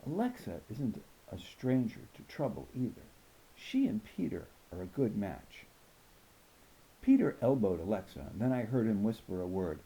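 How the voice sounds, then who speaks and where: A man reading a children's novel aloud as an expressive first-person narrator. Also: on the low side, moderate pace, poor audio. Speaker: audiobook reader.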